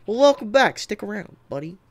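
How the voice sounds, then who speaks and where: A man's voice: sits high, sing-song, fairly quick. Casual, rambling American man livestreaming. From Texas, United States. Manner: informal, sweary, rambling. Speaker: livestreamer and video editor. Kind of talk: casual livestream chat, reading and answering comments.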